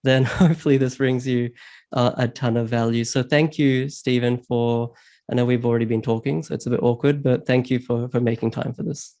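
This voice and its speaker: A man's voice: medium pitch, flat delivery, brisk, warm tone. A man hosting a casual interview, speaking in an upbeat, conversational way. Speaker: interview host, property investment coach.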